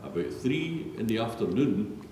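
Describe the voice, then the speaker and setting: A man's voice: fairly low pitch, sing-song, unhurried, a little mellow. A man narrating scripture solemnly as a reader in a church. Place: large echoey church interior. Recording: distant, very echoey church, faint noise.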